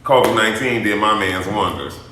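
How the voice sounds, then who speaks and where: A man telling a personal story to his viewers in a sassy, dramatic, animated vlogging style. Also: fairly low pitch, a little fast, a little hiss or hum. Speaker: YouTube vlogger. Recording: very echoey, roomy sound.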